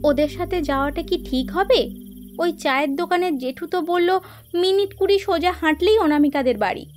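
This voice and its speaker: A woman in her twenties voicing a cautious, sensible young woman in an animated ghost story. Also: very high-pitched, moderate pace, faint music behind. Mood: doubtful. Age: 20s. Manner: cautious, persuasive.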